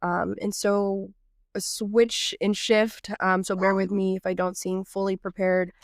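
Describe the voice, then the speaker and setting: A woman's voice: mid-range voice, level tone, unhurried, dry recording, clean studio sound. Nasal-sounding woman hosting a podcast. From Texas. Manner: earnest, reflective, loosely improvised with fillers. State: nasal from a cold. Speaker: podcast host. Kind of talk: reflective podcast monologue.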